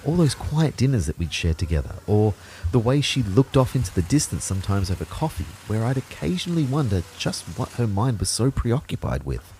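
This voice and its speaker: A man acting as an anxious, introspective narrator in an audio drama, telling his own story in a low voice. His delivery is wry and reflective, with lively swings in pitch. There is some faint noise behind him. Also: fairly quick, low-quality recording. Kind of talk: first-person scripted audio drama narration.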